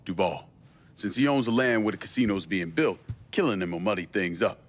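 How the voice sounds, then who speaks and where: An actor playing a grim, resolute avenger, terse and deliberate, in a crime game. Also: male voice, on the low side, animated. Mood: cold resolve. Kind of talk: video game drama acting.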